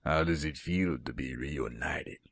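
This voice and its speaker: A man's voice: a bass voice, a little slow, gravelly, fairly bright tone, full character voice. An actor playing a cold, commanding male renegade soldier with a menacing manner in a radio drama. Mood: menacing, fervent.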